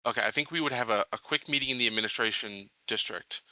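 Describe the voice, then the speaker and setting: A man playing a tabletop roleplaying game, talking casually and reasoning through plans in a deliberate way. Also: mid-range voice, pushed and bright, no room sound, rough recording. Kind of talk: casual in-game planning discussion.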